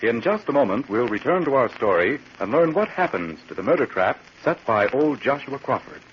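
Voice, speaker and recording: A man's voice: mid-range voice, big pitch swings, moderate pace, a little hiss or hum. A man announcing in 1940s radio style, with a polished, salesmanlike delivery. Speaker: announcer reading the sponsor's advertisement. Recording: muffled 1940s radio recording.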